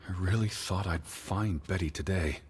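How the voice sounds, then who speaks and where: A man's voice: low-pitched, moderate pace, background noise, lightly acted. An actor playing a weary, earnest middle-aged man in a video game, speaking in a hesitant, careful, subdued way. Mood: weary, worried. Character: weary, earnest man searching for his missing sister. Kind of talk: naturalistic video game voice acting.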